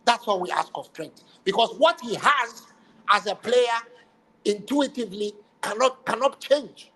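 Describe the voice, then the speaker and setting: A man's voice: sits high, animated, unhurried, fairly bright tone. Passionate man. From Ghana. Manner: passionate, blunt, leans on proverbs and repetition. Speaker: co-host. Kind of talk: casual football debate on a livestream.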